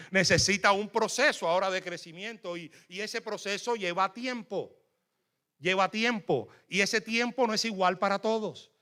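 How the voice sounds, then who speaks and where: Bright-voiced Cuban preacher, emphatic. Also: male voice, high voice, moderate pace. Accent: Cuban Spanish. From Cuba. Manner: fervent, emphatic preaching with frequent fillers. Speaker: guest preacher. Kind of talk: sermon.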